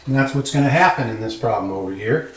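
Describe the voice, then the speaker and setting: In a roomy, echoing space, a male teacher speaks at some distance from the microphone. His pitch is average for a man. He gives an informal math lecture, explaining step by step as if thinking aloud. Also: expressive, quick pace. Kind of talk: informal calculus lecture at a board. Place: indoor room, at a board. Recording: echoey room, distant microphone.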